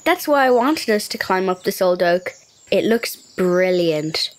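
A high young voice playing an eager, adventurous boy in a children's audio drama, acted by a woman. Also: animated, a little slow.

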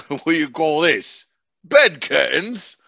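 A man's voice: on the high side, sing-song, slow, creaky, bright, forceful tone, no echo, exaggerated voice. A man playing a sly, chuckling junk dealer of seventy in a radio play. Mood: gleeful. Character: gray-haired old rascal, wheezy gleeful junk dealer, seventy.

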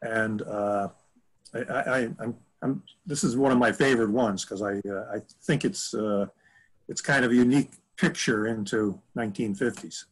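A man in his seventies reminiscing casually on a video call from home, rambling and halting as he corrects himself. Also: lowish voice, slightly rough, rough recording. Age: 70s. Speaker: author answering audience questions. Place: room at home. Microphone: computer mic on a video call.

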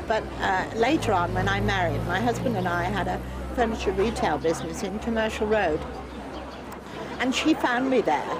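An older English woman in her seventies speaking informally and telling anecdotes in a chatty way. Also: a little high. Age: older, 70s. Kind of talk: informal public address and reminiscence. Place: outdoors on a street among onlookers. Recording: noisy outdoor recording with crowd and ambience.

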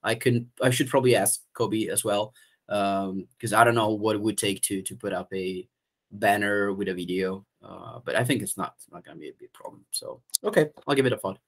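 A man's voice: on the low side, a little fast, creaky. A young man with an Italian accent, talking hesitantly with many restarts as he updates a community call from home over a video call. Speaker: event organizer. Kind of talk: casual video call meeting. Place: room at home.

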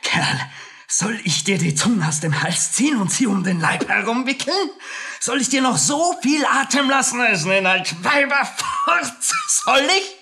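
A swaggering, drunken drum major from a radio play. He pushes his voice high and sharp, bellowing like a boastful bully. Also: very animated, unhurried, a little hiss or hum, heavily acted. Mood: threatening. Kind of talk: dramatic radio play acting.

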